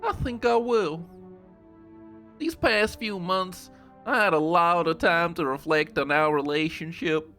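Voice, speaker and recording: A woman's voice: on the low side, a little slow, a bit bright, faint music behind, voice pushed up. A man voicing a young Southern American woman who earnestly reads out a sorrowful farewell love letter. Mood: wistful.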